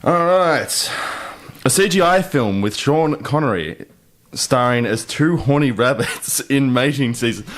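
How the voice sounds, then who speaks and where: A man's voice: medium pitch, lively intonation, a little slow. A man hosting a casual comedy podcast, improvising hesitantly and swearing as he goes. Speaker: podcast host. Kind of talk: casual comedic group chat.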